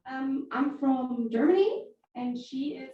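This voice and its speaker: A woman's voice: high voice, lively intonation, slow pace, lo-fi sound. A woman giving a supportive comment from the audience at a workshop. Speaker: workshop participant. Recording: echoey hall, far from mic.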